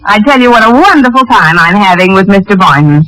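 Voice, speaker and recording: A woman's voice: mid-range voice, lively intonation, a little fast, fairly bright tone, close to an everyday voice, low-quality recording. Actress as wry, wisecracking woman schoolteacher. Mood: sardonic. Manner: dry, sardonic, wisecracking. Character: wry, quick-witted woman English teacher, sardonic and lovelorn. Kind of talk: 1940s radio comedy narration and acting. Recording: vintage 1940s radio recording.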